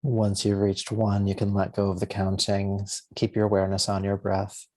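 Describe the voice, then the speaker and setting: Male meditation guide: low, very soft-edged relaxed tone, gentle and soothing, short unhurried phrases. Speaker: yoga nidra teacher.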